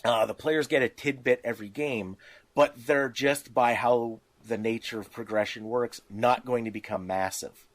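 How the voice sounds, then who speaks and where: A man's voice: mid-range voice, steady tone, moderate pace, no echo. A man with a mid-range voice explains game rules in an informal conversation. He reasons step by step through examples, and the sound is dry, with very little room in it. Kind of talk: casual discussion of tabletop game rules.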